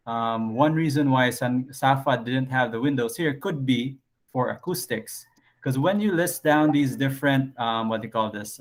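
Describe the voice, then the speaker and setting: A man teaching an online design class in a casual way, thinking aloud with frequent fillers. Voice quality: low voice.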